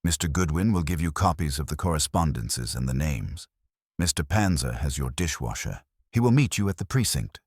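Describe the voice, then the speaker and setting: A man's voice: a bass voice, big pitch swings, normal speed, a little mellow, husky, dry recording, clean studio sound, exaggerated voice. A man voicing an imperious, pedantic genius detective who speaks in formal, pompous pronouncements in an audiobook. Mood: stern, self-assured.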